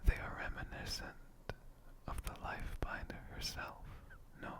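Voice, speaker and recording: A man voicing a sleepy, affectionate dragon shopkeeper in a soft, breathy, whispered ASMR roleplay, with a drowsy and gently formal way of talking. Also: normal speed, close to an everyday voice. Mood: gentle, musing.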